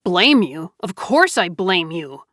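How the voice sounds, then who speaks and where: A bitter young husband snapping short, cross replies, as a woman reader voices him. His lines come slowly and flatly over a close, dry recording. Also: fairly low pitch, no echo, heavily acted. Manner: curt and cross. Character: angry resentful young husband.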